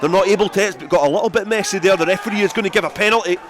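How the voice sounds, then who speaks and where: A man's voice: sits high, racing. Man commentating on live rugby. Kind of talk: live radio sports commentary. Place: outdoors at a rugby ground.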